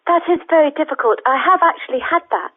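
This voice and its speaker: A well-meaning woman calling a comedy radio phone-in. Her voice is high and comes down a tinny telephone line, polite, earnest and matter-of-fact. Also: expressive, fast. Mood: awkward, candid. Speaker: phone-in caller. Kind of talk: casual radio phone-in opinion.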